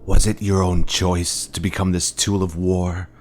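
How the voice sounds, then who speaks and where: A man playing a grave, manipulative fallen Jedi master in a video game, speaking in a solemn, deliberate, grandiose way. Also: low voice, little pitch movement. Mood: accusing, probing. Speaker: game character voice actor. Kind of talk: dramatic scripted game dialogue.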